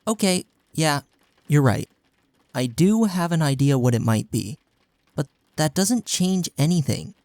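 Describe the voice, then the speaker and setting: A man's voice: a little high, expressive, unhurried, darkish tone, dry recording. A young man's voice in an intimate audio roleplay, recorded very dry. He is acting as a caring college best friend speaks casually and earnestly, with a playful, teasing streak, as if to one listener. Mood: gentle concern. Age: young adult.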